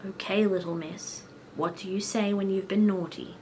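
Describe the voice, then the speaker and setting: A woman reading the lines of a gentle, caring male doctor in a soft, low, soothing tone. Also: steady tone, moderate pace, a little hiss or hum, natural voice, rough recording.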